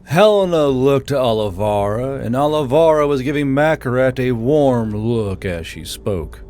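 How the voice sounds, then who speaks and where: A man's voice: mid-range voice, lively intonation, measured pace, darkish tone. A man narrating a scripted fantasy story.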